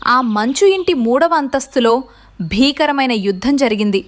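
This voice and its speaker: A woman's voice: high-pitched, animated, normal speed. A woman narrating a scripted story for children.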